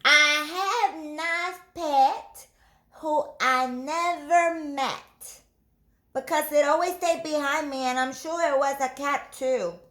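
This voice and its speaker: An eager, proud little girl from a picture book, as a woman reader acts her out. She speaks high and insistently, in an earnest singsong. The room adds some echo. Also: slow, pushed and bright, full character voice. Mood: reciting slowly. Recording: roomy echo.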